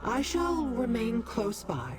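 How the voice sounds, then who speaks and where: Haughty, sardonic demoness, swooping over music. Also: female voice, a little high, huge pitch swings, slow pace, full character voice. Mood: cool, conspiratorial. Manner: condescending, drawling, theatrical. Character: haughty, sardonic demon woman condescending to mortals. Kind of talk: scripted video game dialogue. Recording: game music behind the voice.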